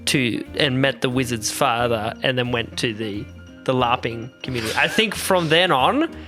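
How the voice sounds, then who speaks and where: A man's voice: medium pitch, normal speed, pushed and bright, faint music behind. A man co-hosting a casual podcast, hesitant and searching for his words. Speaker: podcast co-host. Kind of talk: casual chat.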